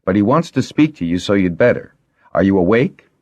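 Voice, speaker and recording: Man voicing a blunt, grumpy dragon, lively pitch. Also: a little fast, long reverb tail, close to an everyday voice. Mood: insistent. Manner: blunt, displeased.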